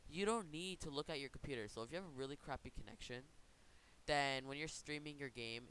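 A man hosting an informal software tutorial, talking in a casual, rambling way and correcting himself as he goes. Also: mid-range voice. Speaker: tutorial host. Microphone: computer microphone. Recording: noticeable background hiss.

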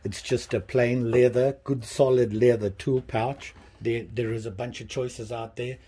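A man in his fifties casually showing his tools in a small workshop, talking in a relaxed, rambling way with many pauses and restarts. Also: mid-range voice, slightly rough. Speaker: hobbyist channel host. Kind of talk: casual show-and-tell vlog. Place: small workshop with pegboard tool wall, indoors.

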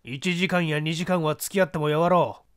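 A man playing a shabby, resentful, desperate ex-husband in a dramatic story reading, roughly pleading with menace. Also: on the high side, fairly even intonation, moderate pace, fairly bright tone, lightly acted. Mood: sullen, insistent. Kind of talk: dramatic audiobook character reading.

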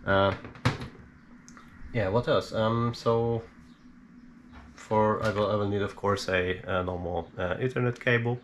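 A man giving a casual, unscripted hands-on explainer as a hobbyist, halting and hesitant as he thinks aloud. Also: on the low side. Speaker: DIY electronics hobbyist presenter. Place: small indoor home workshop. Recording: steady background noise.

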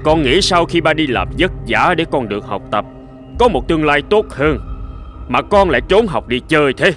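A man playing a stern but fair middle-aged father in a cartoon, speaking in a measured, earnest, admonishing way. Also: a little high, lively intonation, a bit bright. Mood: stern, disappointed. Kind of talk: animated moral tale dubbing. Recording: music behind the voice.

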